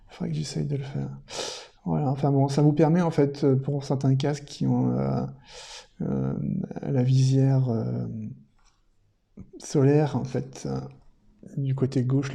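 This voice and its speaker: A man's voice: medium pitch, fairly even intonation, measured pace, creaky, pauses often, mellow. A man presenting a product unboxing in casual, unscripted commentary, thinking aloud somewhat hesitantly.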